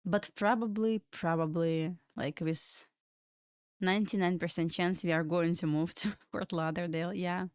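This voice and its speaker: A woman's voice: fairly low pitch, steady tone, moderate pace, darkish tone, clear, resonant tone, dry recording, studio quality. A young adult woman from Belarus with a Russian accent, chatting loosely with viewers while she hosts a live trading stream. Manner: chatty, loose and rambling, answering chat questions between market comments. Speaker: livestream trading host. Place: home room in Florida.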